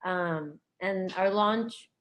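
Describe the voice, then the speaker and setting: A woman's voice: medium pitch, drawn-out words, fairly bright tone, rough recording. A woman guest on an online call, upbeat and casual, using many fillers. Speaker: guest seller. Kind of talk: interview answers.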